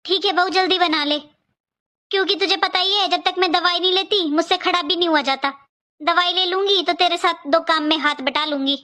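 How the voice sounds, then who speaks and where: A woman's voice: very high voice, fairly even intonation, brisk, bright, forceful tone. A woman voicing a worried, complaining elderly Indian mother in a cartoon family skit, plaintive and explanatory. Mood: weary, plaintive.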